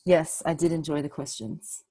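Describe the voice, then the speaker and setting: A woman's voice: low voice, level tone, mellow. Upbeat woman hosting a webinar. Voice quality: soothing voice. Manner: upbeat, quick and enthusiastic. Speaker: webinar host. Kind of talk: online webinar presentation. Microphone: computer mic on video call.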